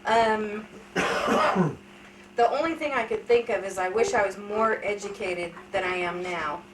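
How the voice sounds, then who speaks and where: A woman's voice: medium pitch, unhurried, far from the mic. A woman in her thirties telling candid, funny stories about her life in a casual family interview. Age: 30s. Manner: chatty, candid storytelling with self-deprecating humor. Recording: echoey room, voice from off-mic, light hiss.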